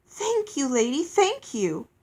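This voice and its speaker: A woman's voice: high voice, very animated, measured pace, voice pushed up. A woman voicing an earnest, polite young girl from a storybook, courteous and pleading. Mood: grateful.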